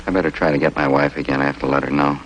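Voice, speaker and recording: Testy, low-voiced, quick-talking male suspect. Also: level tone, noisy background. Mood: irritated, cornered. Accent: American. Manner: curt, testy, evasive. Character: defensive, irritable male suspect with family, stonewalling police. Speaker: actor in a television police drama. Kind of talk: 1960s TV police procedural acting.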